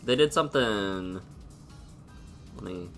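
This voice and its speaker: A sniffly man with a sore throat talks slowly, with plenty of pauses and hums as he thinks aloud. His voice sits a little low but sounds bright and forceful. Faint game music plays behind him. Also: lively intonation. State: stuffy and sniffly. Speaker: streamer. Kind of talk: casual gameplay commentary.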